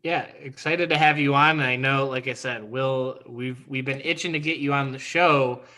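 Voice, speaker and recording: Co-host: eager, talkative man, sharp bright voice, mid pitch. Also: fairly even intonation, normal speed. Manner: enthusiastic, chatty. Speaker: podcast co-host. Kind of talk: casual podcast conversation.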